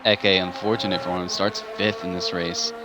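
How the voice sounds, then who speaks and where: A man with a very low voice calls a live sim racing event in a relaxed, chatty way. He laughs easily between lines. He talks like he is saving his voice. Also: normal speed, music in the background. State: saving a tiring voice. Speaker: race commentator.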